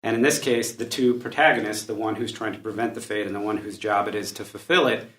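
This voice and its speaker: A man's voice: fairly low pitch, steady tone, fast, fairly bright tone. A man talking through literature in a casual panel discussion, in conversational style. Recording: echoey room.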